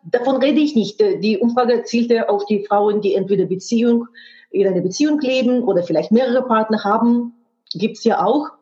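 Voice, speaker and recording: A woman with a fairly high voice talks frankly and emphatically, sometimes restarting as she hunts for words in a language that is not her first. The audio is dull and muffled. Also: normal speed, mellow. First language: not German. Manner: frank, emphatic, with earthy expletives. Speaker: guest, sex educator and hypnotherapist. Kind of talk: candid podcast conversation.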